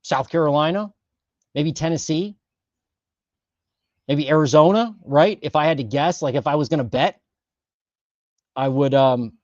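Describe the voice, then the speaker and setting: Male host, fairly high voice, loose filler-heavy delivery, dry dull lo-fi sound. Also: a little slow, long pauses, darkish tone, no room sound. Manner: chatty, filler-heavy, self-correcting. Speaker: host of a housing market livestream. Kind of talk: casual livestream data rundown.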